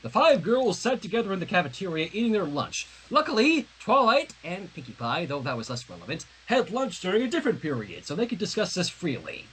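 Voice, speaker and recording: Very animated high-pitched man reading a story. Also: brisk, hardly any pauses, husky, rough recording. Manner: expressive, dramatic reading with casual asides. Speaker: fan fiction reader. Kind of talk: animated story narration on a livestream.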